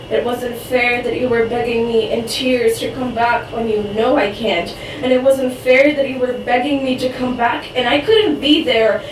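A young woman from Croatia whose first language is Croatian, reading her spoken word poetry at an open mic in an earnest, rapid delivery. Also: sits high. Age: young adult. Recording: echoey room, distant mic, faint noise.

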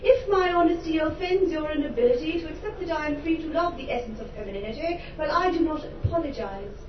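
A woman's voice: high voice, lively intonation, quick pace. An Irish woman performing her spoken word poetry to a live audience, impassioned and theatrical with wry humorous asides. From Ireland. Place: live venue before an audience. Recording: roomy, distant, dull live recording with background noise.

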